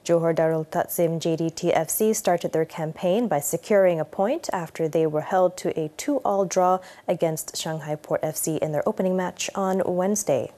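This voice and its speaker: A woman's voice: on the low side, normal speed, few pauses, mellow. A woman with a Malaysian accent reading scripted news as a television presenter. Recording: music bed behind voice.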